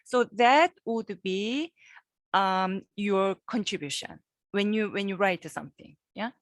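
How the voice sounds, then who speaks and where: A woman professor giving a guest lecture over a video call, halting and repeating words, often checking in with her listeners. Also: mid-range voice, dry recording, studio quality. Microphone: computer microphone on a video call.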